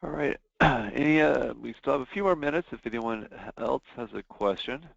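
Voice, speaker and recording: A man's voice: medium pitch, moderate pace, creaky, no room sound. A man moderating a webinar, taking questions and closing with routine thanks. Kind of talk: hosting webinar wrap-up. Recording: dull lo-fi webinar audio, dry.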